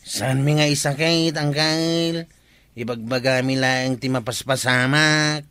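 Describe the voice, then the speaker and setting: Bright, earnest younger village man, played by an actor. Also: mid-range voice, fairly even intonation, takes it slowly, clean tone, no room sound. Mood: insistent. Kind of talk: comedy radio drama acting.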